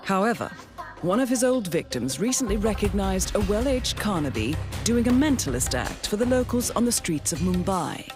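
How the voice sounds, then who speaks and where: Composed woman handler with lively intonation acted. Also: medium pitch, lightly acted. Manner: measured, formal, dryly understated. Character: composed, coolly professional female handler briefing an assassin. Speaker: mission handler. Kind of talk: scripted video game mission briefing narration.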